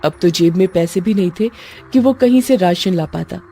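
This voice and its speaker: A woman's voice: on the low side, normal speed, dark tone, slightly rough. A woman reading a scripted story as a narrator, in a storytelling style. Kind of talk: scripted narration of a Hindi moral horror story. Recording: music under the voice.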